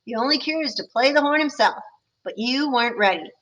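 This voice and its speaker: A woman's voice: high voice, animated, a little fast, a bit bright, muffled recording, voice pushed up. A woman reading the part of a kindly but strict male band teacher in a storybook, speaking in a patient, instructive way. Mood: earnest.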